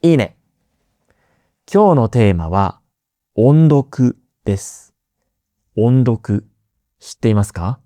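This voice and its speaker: A man hosting a language-learning podcast, explaining things slowly and simply, repeating key words and asking listeners friendly questions. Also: medium pitch, expressive, dark tone, dry recording. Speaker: Japanese teacher, podcast host.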